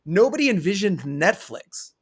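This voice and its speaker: Male panelist, high-pitched, casual explainer on a live talk show. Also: big pitch swings, normal speed, husky. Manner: explanatory, informal.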